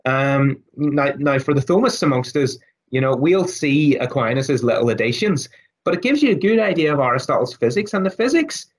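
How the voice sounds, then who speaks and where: A man with a raspy, hoarse voice who is losing his voice. He speaks as a guest scholar, thoughtful, with many fillers and restarts. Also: mid-range voice, sing-song, normal speed. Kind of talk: casual interview talk.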